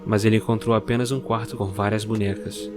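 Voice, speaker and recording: A man narrating a dark story in a measured, dramatic way. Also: fairly low pitch, level tone, over music. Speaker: narrator and producer.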